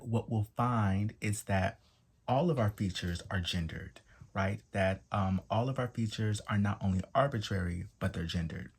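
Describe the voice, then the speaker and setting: A soft-voiced man giving a measured explanatory talk as presenter, listing points with short pauses and fillers. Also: lowish voice, creaky, husky.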